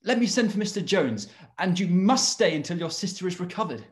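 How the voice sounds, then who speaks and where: A young man playing a kindly, courteous young gentleman in a period drama read over video call. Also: sits high, lively intonation, fairly quick. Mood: concerned, obliging. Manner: courteous, eager. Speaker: actor in live read.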